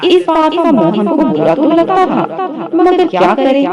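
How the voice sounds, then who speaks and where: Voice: sits high, very animated, unhurried, over loud music. A narrator telling a scripted moral story in a storytelling style.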